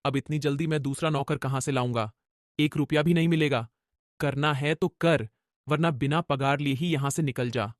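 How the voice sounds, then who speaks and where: A harsh, scornful cart boss in a cartoon story, voiced by a man with a slightly raised pitch. He snaps and sneers at his worker, and the sound is close and dry with no room around it. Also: normal speed, dry recording, lightly acted. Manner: scornful, shouting. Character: harsh greedy male food-cart owner, bullying boss. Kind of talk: cartoon voice acting.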